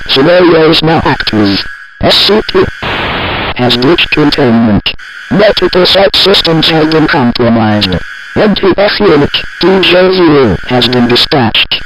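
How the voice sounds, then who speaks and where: An automated secret-facility announcer with a somewhat high male voice, acted by a man. It issues scripted emergency announcements in a clipped, official and impersonal manner. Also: animated, takes it slowly, pauses often, fairly bright tone, rough recording. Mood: urgent, official.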